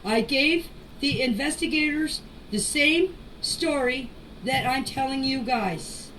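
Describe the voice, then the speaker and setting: A mature woman giving an informal spoken statement in a deliberate, halting way, in short phrases, as a voice message. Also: high-pitched, fairly bright tone. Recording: distant noisy amateur voice clips.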